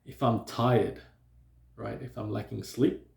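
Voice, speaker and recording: A man with a stutter speaks slowly to camera, pausing and restarting often. His low voice is gravelly and mellow. The sound bounces around an echoey room. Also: steady tone. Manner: slow and hesitant, with frequent fillers and restarts. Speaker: vlogger. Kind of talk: reflective personal talk to camera.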